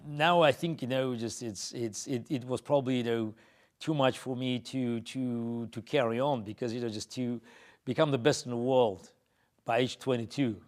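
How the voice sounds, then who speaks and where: A middle-aged man from Azerbaijan, answering interview questions in a halting way, full of fillers and restarts. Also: medium pitch, steady tone, darkish tone. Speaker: interview guest.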